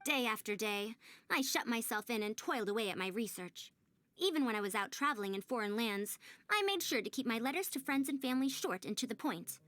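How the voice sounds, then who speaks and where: A woman's voice: on the high side, expressive, brisk, fairly bright tone, no echo. A woman playing a prim, proud but kindly young-sounding researcher in a video game, speaking formally and articulately in wordy, old-fashioned phrases. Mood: regretful. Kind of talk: scripted video game character dialogue.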